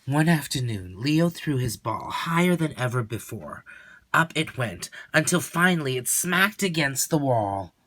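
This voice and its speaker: A man reading a children's story aloud in an expressive, deliberate and dramatic narrating style. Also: medium pitch, slight background noise.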